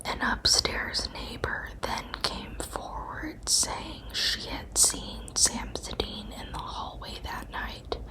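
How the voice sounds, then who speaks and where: Whispering woman narrating slowly. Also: low-quality recording. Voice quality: breathy unvoiced whisper. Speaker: narrator of true crime ASMR. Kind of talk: whispered ASMR scripted true-crime narration.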